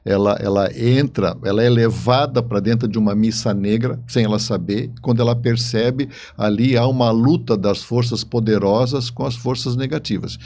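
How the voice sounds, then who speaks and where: A Brazilian man with a mellow, soft-edged voice talks casually as an interview guest. He explains things step by step, repeating words while he finds the next one. There is some noise behind him. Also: mid-range voice, moderate pace, few pauses. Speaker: guest author. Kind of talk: casual podcast conversation.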